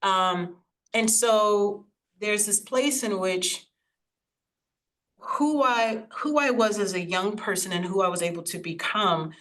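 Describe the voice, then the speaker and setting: A woman raised in the United States by Haitian parents, Haitian Creole her first language, giving a reflective talk over slides and often pausing to search for words. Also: lowish voice, fairly bright tone. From United States, Haitian family. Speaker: guest speaker. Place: online recording, video call.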